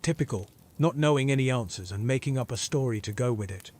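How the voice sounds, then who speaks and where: A man plays a gruff old wizard interrogator in a fantasy audio drama. He is menacing and scornful, barking orders with deep suspicion. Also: fast, darkish tone, lightly acted. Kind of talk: fan audio drama acting.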